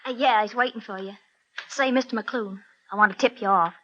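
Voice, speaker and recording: An actress playing a brash, slangy young American secretary in a 1940s radio drama, blunt and wisecracking. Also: female voice, medium pitch, fairly quick, a bit bright, natural voice. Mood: confiding. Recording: dull, faintly noisy old radio recording.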